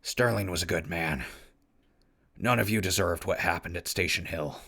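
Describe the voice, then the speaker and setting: Guy playing a wasteland traveler telling his crew's story, gravelly and low, talks right to listeners, audio drama. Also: moderate pace, pushed and bright, exaggerated voice. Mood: reflective, easygoing. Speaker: narrator and crew leader. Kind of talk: audio drama, conversational narration.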